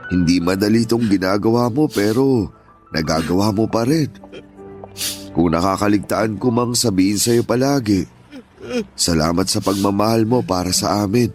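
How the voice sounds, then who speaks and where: A man playing an aging father in a radio drama, stern at first and then gentle and pleading with his grown son. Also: lowish voice, normal speed, soft background music, lightly acted. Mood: tender. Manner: pleading, earnest, scolding turning gentle. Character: aging father, stern yet tender, grieving. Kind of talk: Tagalog radio drama acting.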